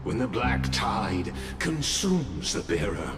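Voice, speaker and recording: An actor playing a theatrical, sly ritual overseer in a fantasy game, speaking in a grandiose, deliberate and ominous way. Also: male voice, fairly low pitch, sing-song. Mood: solemn, ominous. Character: theatrical, sly male ritual overseer dropping his masquerade. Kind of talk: dramatic fantasy game acting. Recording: echoey, loud music behind.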